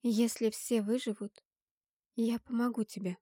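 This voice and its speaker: Woman playing a firm, higher-voiced, commanding heroine. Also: level tone, measured pace, mellow, no room sound, clean studio sound. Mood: stern. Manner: firm, emphatic. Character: stern, protective heroine, commanding, tense and alarmed.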